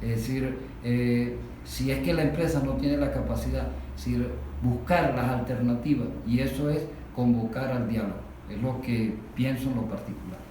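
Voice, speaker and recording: A man's voice: medium pitch, steady tone, moderate pace, hardly any pauses, warm tone. A man with a Venezuelan accent speaking spontaneously about workplace matters, explaining things by posing questions and then answering them. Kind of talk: spontaneous discussion of workplace issues. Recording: very echoey room, distant mic, faint noise.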